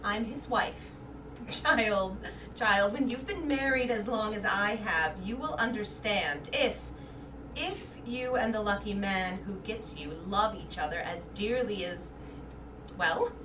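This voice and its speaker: A woman's voice: medium pitch, slow pace, natural voice. A woman playing a devoted, motherly and knowing soldier's wife in a staged play reading. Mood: fond, confiding. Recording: distant mic, echoey room, background noise.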